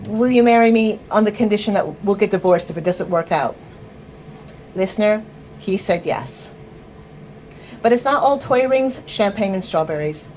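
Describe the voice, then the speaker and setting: Woman in her 50s reading a memoir, wry, deliberate, talks right to the listener. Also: medium pitch, a little mellow, a little hiss or hum, low-quality recording. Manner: wry, deliberate delivery addressing the listener directly. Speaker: writer reading her own memoir piece. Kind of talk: first-person memoir reading.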